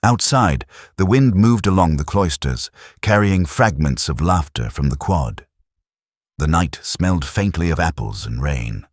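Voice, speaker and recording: A middle-aged man narrating a first-person detective story in a measured, literary, brooding manner. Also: rumbling low, expressive, no echo, clean studio sound.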